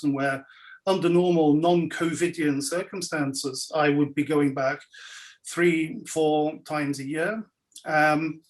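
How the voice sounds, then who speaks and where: A man who grew up in Belgium with French as his first language, giving a wry, measured talk about his writing life over a video call from his home. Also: fairly high pitch, rough recording. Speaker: guest speaker, scholar and writer. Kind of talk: relaxed lecture-style talk. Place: home, indoors.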